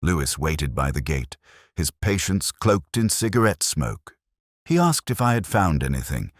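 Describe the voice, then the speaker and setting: Casting: male actor for brooding, watchful inspector narrating an audio mystery. Extremely deep register, expressive intonation, measured and wry literary delivery. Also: no echo, clean studio sound, full character voice. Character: melancholy, watchful male police inspector recounting a case. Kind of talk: scripted first-person literary mystery narration.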